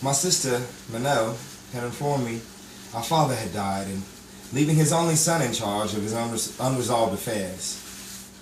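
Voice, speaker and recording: An actor as an echoey, earnest romantic hero. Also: male voice, mid-range voice, animated, normal speed, slight background noise, lightly acted. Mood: wistful. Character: wistful romantic hero recalling his lost love. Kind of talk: nostalgic radio drama narration and acting. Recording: roomy, distant live stage recording, crowd.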